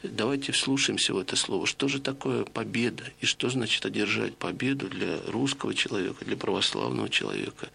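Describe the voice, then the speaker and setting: A middle-aged man with a low, heavily gravelly voice that still carries a forceful, bright edge. He lectures in a measured, explanatory way, often pausing on hesitations. A faint hiss sits under the voice. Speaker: lecturer on radio. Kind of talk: reflective spoken lecture to listeners.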